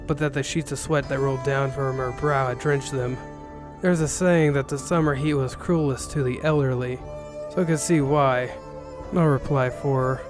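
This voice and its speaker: A man reading a first-person story as narrator, in the role of a ronin, with a wry, sarcastic manner. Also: mid-range voice. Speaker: narrator reading an interactive novel. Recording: music bed under the voice.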